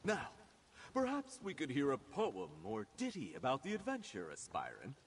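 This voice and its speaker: A man's voice: medium pitch, huge pitch swings, normal speed, a little hiss or hum. An actor playing a genteel male knight of a chivalric order in a fantasy video game. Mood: pleased.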